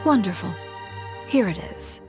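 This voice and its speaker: An actress playing a cool, calculating woman of authority in a video game, speaking in a measured, formal, imperious way. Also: medium pitch, animated, music in the background, close to an everyday voice. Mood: calm, calculating. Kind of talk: video game character acting.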